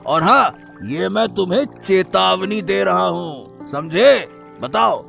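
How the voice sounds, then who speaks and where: A man voicing a suspicious, threatening wrestler in a cartoon story, with a taunting, drawn-out, insinuating delivery. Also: high voice, expressive, gravelly, fairly bright tone, soft background music, full character voice. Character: suspicious, threatening male wrestler interrogating a boatman. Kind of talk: Hindi moral-story cartoon dubbing.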